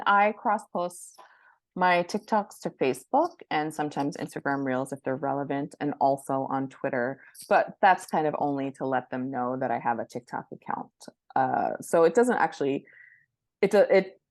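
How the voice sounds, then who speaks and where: Low-pitched woman giving casual interview advice. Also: animated, normal speed, no room sound. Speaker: podcast guest, book marketing professional.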